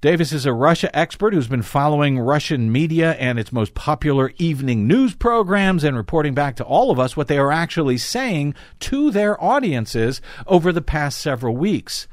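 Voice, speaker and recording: A man's voice: fairly high pitch, no room sound, studio quality. A man hosting a talk program, reading news excerpts aloud and commenting on them in an emphatic way. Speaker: talk show host and commentator.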